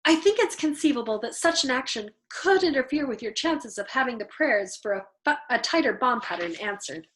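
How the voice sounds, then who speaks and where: A woman's voice: fairly high pitch, animated, a little fast, hardly any pauses, fairly bright tone, rough recording. A woman voicing a meek, deferential male army chaplain in a storybook, polite and tentative. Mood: cautious.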